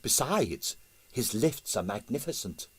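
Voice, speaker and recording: A man voicing a tired, obedient young ballerina in a storybook, with a soft, mild, placating manner. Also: medium pitch, big pitch swings, measured pace, breathy edge.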